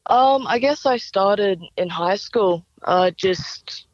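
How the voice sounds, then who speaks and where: A woman's voice: medium pitch, slow, dry recording, highs cut off. A young woman with an Australian accent giving casual, thoughtful, somewhat hesitant answers as an interview guest. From Brisbane, Australia. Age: young adult. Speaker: singer-songwriter, interview guest.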